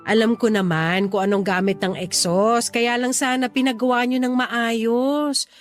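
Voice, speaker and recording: A woman's voice: a little high, fairly even intonation, unhurried, natural voice. A young woman with a Filipino accent playing a considerate but insistent neighbour in a radio drama, with music underneath. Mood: insistent, exasperated.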